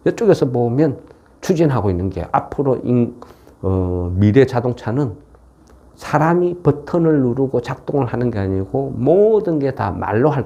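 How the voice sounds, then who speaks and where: A man's voice: medium pitch, dark tone. A man with a regional, non-Seoul South Korean dialect giving an informal explanatory market lecture in a folksy way, often tagging on rhetorical questions. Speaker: stock market commentator.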